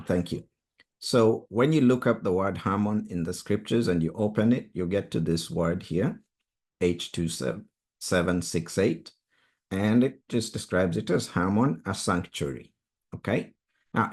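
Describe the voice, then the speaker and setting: A Swahili-speaking man leads a study group over a video call, his voice sitting fairly low. He talks very slowly and deliberately, with an earnest, emphatic tone as he teaches. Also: lively intonation, husky. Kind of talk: online Bible study teaching.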